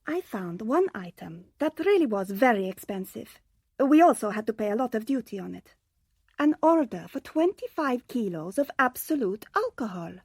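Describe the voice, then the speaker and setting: High-voiced, composed young secretary. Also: female voice, big pitch swings, normal speed, darkish tone, husky, dry recording, studio quality, heavily acted. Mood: composed, businesslike. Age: young adult. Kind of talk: radio drama dialogue.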